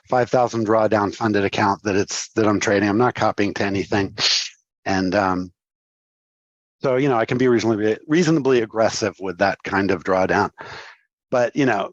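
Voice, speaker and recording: A man's voice: medium pitch, dry recording, dull audio. Man in his sixties with a cold, rambling. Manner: rambling, filler-heavy explaining. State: congested from a cold. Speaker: trading educator on a livestream. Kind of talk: casual live market commentary.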